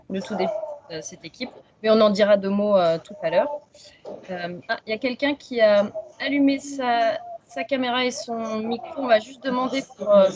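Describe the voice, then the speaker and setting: A woman in her thirties from Franche-Comté in France, presenting science informally and haltingly on a video call. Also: mid-range voice, darkish tone. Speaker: researcher, doctoral student presenting. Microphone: video call microphone. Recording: muffled video-call audio.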